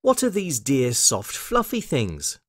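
A man's voice: medium pitch, wildly swooping pitch, slow, a bit bright, exaggerated voice. A man voicing a curious, forthright little girl in a children's storybook, questioning and exclaiming. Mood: inquisitive.

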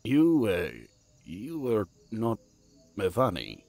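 Man playing hesitant, low-voiced wood elf druid. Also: wildly swooping pitch, takes it slowly, vocal fry, a little mellow, no echo, exaggerated voice. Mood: unsure. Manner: stammering, hesitant. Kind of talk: fantasy audio drama acting.

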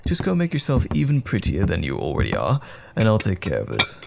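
Casting: male actor for devoted, comforting boyfriend in audio roleplay; low, gravelly edge, tender and playful. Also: huge pitch swings, fairly quick, noisy background, full character voice. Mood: tender, reassuring. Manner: tender, reassuring, playful, uses pet names. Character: loving, attentive boyfriend comforting his troubled partner.

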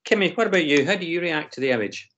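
A man's voice: on the high side, animated, fast talker, a bit bright. A man teaching an online class, leading a discussion with many ums and restarts. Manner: hesitant, frequent ums and restarts. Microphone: video call. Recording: slightly dull video call audio.

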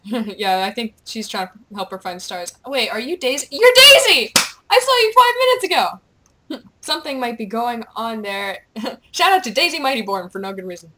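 A young woman chatting casually while gaming, excitable and playful, with goofy exclamations and bits of on-screen text read aloud. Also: a little high. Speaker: gamer, video creator. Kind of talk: casual gameplay chat, reading quest captions aloud.

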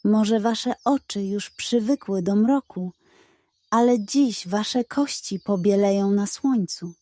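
A woman's voice: mid-range voice, slow pace, mellow, no room sound, close to an everyday voice. A woman voicing fierce animal tribe warriors in a children's story, menacing and full of scorn. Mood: scornful taunting.

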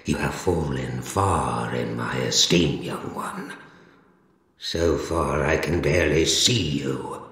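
Game villain: colossal deformed holy keeper, pompous and accusing, slow gravelly delivery, long echoing tail. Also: male voice, a bit bright, heavily acted. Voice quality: gravelly, cavernous bass. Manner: pompous, accusing, preaching in archaic, measured phrases. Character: huge deformed zealous monster keeper of heaven, stern and menacing. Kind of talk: video game character voice lines, solemn dramatic monologue. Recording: cavernous echo with long reverb tail.

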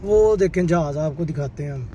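Male travel vlogger, thirties, higher-pitched voice; casual repetitive tour-guide patter on a phone camera with audible noise behind him. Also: moderate pace, mellow. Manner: repeats "check karein ji", chatty tour-guide narration. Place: car in traffic, then large indoor shopping mall. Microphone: handheld phone camera. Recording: background noise.

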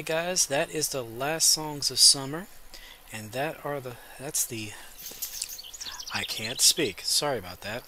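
A man with a head cold narrates his gameplay in a relaxed, chatty way, his voice bright and forward. He sounds a little congested. There is a faint hiss behind him. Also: medium pitch. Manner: relaxed, chatty, talks to viewers as guys. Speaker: gaming video host. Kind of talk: casual gameplay commentary.

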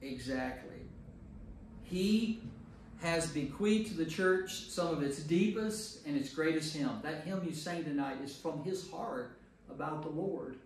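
Guy teaching bible study in a super echoey room, mic far off, kinda high voice, repeats stuff to make the point. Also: measured pace. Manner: emphatic, repeats phrases for emphasis. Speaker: priest leading a Bible study. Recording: very echoey room, distant mic, faint noise.

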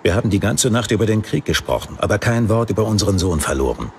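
A man playing a proud, wry paladin husband with an earnest, measured manner in an audiobook drama. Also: on the low side, very animated, vocal fry, a little hiss or hum. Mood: concerned.

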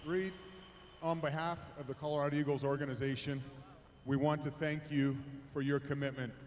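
A man's voice: on the high side, little pitch movement, a little fast, a little mellow. A middle-aged man giving a formal ceremonial presentation speech on a handheld microphone. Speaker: team representative presenting an award. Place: on the ice in an indoor arena. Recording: echoing noisy arena PA.

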